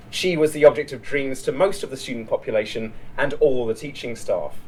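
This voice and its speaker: A man narrating a written short story in a measured, literary read-aloud delivery. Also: mid-range voice, darkish tone, poor audio. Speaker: writer reading a short story.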